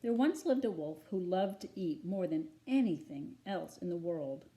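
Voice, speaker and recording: A woman reading a children's story aloud in an expressive, deliberate storytelling manner. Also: on the low side. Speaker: storybook reader.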